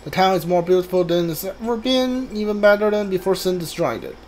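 High-voiced man muttering through a game playthrough. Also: expressive, darkish tone, a little hiss or hum. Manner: halting, mutters to himself, reads guide and subtitles aloud. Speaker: video game streamer. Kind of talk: casual playthrough commentary, thinking aloud.

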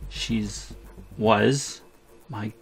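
A woman's voice: extremely low pitch, fairly even intonation, extremely slow, husky, exaggerated voice. A woman playing a frightened, pleading young man held captive, in an emotional ASMR audio roleplay. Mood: grieving, resigned.

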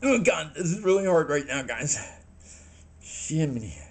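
A man, telling a story to the camera in a rambling, halting way and sounding a little shaky, recorded in a small room on a camera in front of him. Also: a little high. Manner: rambling, halting, animated hand gestures. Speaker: vlogger.

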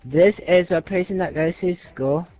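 Young man, fairly high voice, prank caller, crude and taunting, music behind. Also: moderate pace, warm tone, no room sound, low-quality recording. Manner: crude, foul-mouthed, taunting. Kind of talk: prank phone calls, casual chat.

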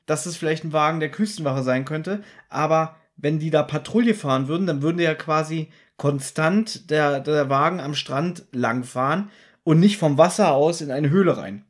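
A man's voice: fairly high pitch, moderate pace. A man co-hosting a podcast, casually retelling a story and bantering. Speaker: podcast co-host.

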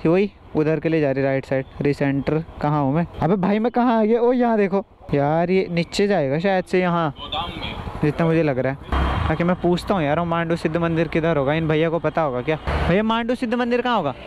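Young high-voiced man, noisy outdoors. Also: a little fast. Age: young adult. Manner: chatty, thinking aloud, mixing in English words. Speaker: motovlogger. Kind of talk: casual vlog chat. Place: outdoors on roads, riding a scooter and stopping. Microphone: action camera on helmet or handheld. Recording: noisy outdoor action-camera audio with vehicle sound.

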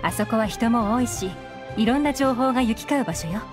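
A woman playing a composed, commanding intelligence chief in a video game, poised and unhurried. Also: on the high side, lively intonation, music in the background, natural voice. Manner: poised, unhurried, feminine phrasing.

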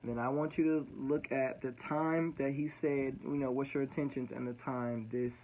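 A man's voice: mid-range voice, steady tone, normal speed, a little hiss or hum. Casual slangy young man, lo-fi phone audio. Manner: casual slangy, repeats himself. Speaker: student pilot explaining recordings. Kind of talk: casual explainer over playback.

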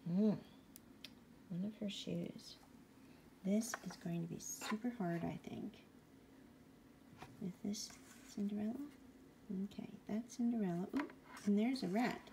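A woman reading a picture book aloud to young children, gently and often hushed, asking the listener questions as she goes. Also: medium pitch, takes it slowly, gravelly, pauses often, slight background noise. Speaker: bedtime story reader.

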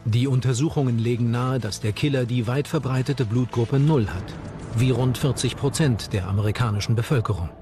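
A man narrates a true crime documentary from a script. His voice sits at a middling pitch, with music playing faintly underneath. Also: brisk. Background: background music.

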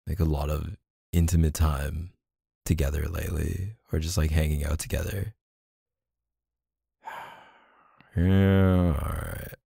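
A man's voice: rumbling low, creaky, dark tone, slightly rough, no echo, clean studio sound, full character voice. A man playing a laid-back, apologetic boyfriend in an intimate audio roleplay, talking casually and hesitantly with coaxing pet names. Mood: conciliatory. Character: laid-back, apologetic young boyfriend soothing his neglected partner. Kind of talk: boyfriend ASMR roleplay, casual intimate one-sided dialogue.

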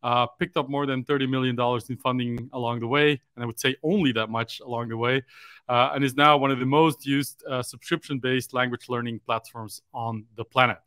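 A man's voice: medium pitch, fairly even intonation, pushed and bright, smooth, no echo. Dutch man hosting over a headset. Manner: measured, fills pauses with "uh". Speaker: podcast host, interviewer. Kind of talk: interview hosting. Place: room at home, indoors. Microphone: headset, video call.

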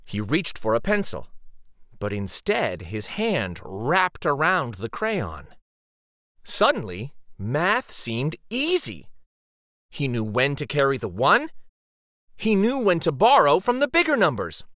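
A man reading a children's story aloud dramatically, with theatrical, suspenseful pauses. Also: a little high, wildly swooping pitch, sharp tone, no echo, studio quality.

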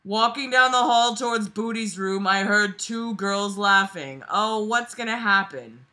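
A woman's voice: mid-range voice, unhurried, pushed and bright. A young adult woman reading a book aloud with casual running commentary, sarcastic, exasperated and freely swearing, recorded in a bedroom. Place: small bedroom, lying on a bed. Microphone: device camera microphone at arm's length.

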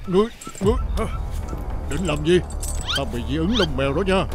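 A man voicing a grumpy, bossy, middle-aged villain scientist in an animated cartoon. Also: on the high side, fairly quick, close to an everyday voice. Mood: alarmed, bossy. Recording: music behind.

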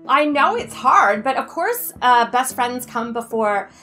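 A woman's voice: fairly high pitch, sing-song, unhurried, bright, forceful tone, soft background music, full character voice. A woman in middle age playing a pushy, oblivious, self-absorbed best friend in an improvised soap, rambling on insistently. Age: middle-aged. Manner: rambling, breezily insistent, valley-style filler talk. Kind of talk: improvised soap-opera comedy over a video call.

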